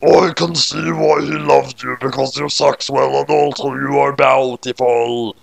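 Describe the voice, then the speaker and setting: A man voicing a huge, lecherous death-god king in a slow, drawn-out, altered voice for a fan story. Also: medium pitch, steady tone, gravelly. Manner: slow, deliberate.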